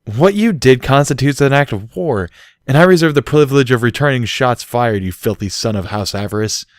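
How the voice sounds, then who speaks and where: A man voicing a blunt, sharp-tongued female pony in a storybook, with a scolding, emphatic delivery. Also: medium pitch, animated, a little fast, dry recording, clean studio sound, voice pushed up, natural voice. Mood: mock indignant.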